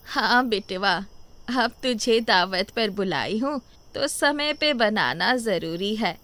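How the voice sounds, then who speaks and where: A woman voicing a kindly old grandmother, later a ghost, who speaks affectionately and reassuringly in an animated moral story. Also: mid-range voice, slow pace. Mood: warm, hospitable. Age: older.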